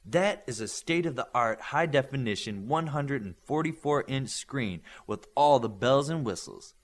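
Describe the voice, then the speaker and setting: A man voicing a brisk, authoritative male coach of young superheroes in an audiobook, with a firm, instructing manner. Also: medium pitch, expressive, pitched above the performer's own voice. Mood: firm, persuasive.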